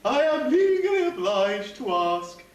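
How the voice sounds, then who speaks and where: Man as a flustered pantomime dame, swooping and slow. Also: extremely high pitch, sing-song, exaggerated voice. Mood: mock-solemn. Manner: mock-solemn, exaggerated, fussy. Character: flustered, comic pantomime dame officiating weddings. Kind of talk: pantomime stage acting. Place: amateur stage show in a hall. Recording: echoey hall from far off, noisy.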